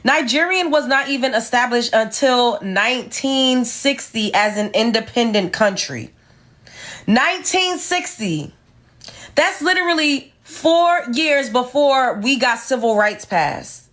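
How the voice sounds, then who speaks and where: A woman's voice: fairly high pitch, animated, a little slow, a bit bright. A woman from the United States delivering a sassy, emphatic opinion rant to her viewers, lecturing as she reads and reacts. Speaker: commentator reacting to clips.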